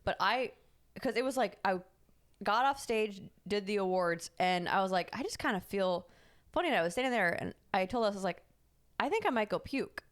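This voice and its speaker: A woman's voice: medium pitch, animated, fairly quick. A woman co-hosting a podcast who tells a long rambling story and corrects herself as she goes. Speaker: podcast co-host. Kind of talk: casual chat.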